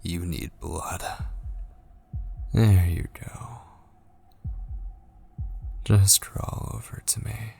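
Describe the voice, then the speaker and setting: A man playing a possessive, obsessed kidnapper in an intimate ASMR roleplay, coaxing with menacing tenderness in a breathy voice that drifts toward whispers. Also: low-pitched, fairly even intonation, darkish tone, slight background noise, heavily acted. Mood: hushed, coaxing. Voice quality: breathy, husky. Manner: slow, coaxing, menacingly tender, lingering on phrases. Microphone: close studio microphone.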